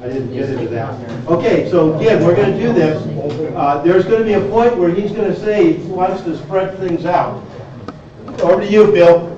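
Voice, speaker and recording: A man's voice: sits high, normal speed. A man co-hosting a club session, talking casually and off the cuff to a group. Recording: very echoey room, distant dull mic, crowd.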